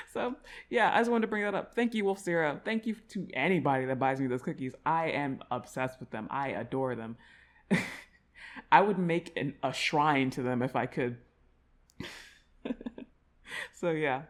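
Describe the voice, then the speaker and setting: A woman's voice: deep voice, animated, normal speed, long pauses. A woman chatting casually to her listeners as an audio creator, in an informal, giggly, rambling way. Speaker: audio roleplay creator addressing subscribers.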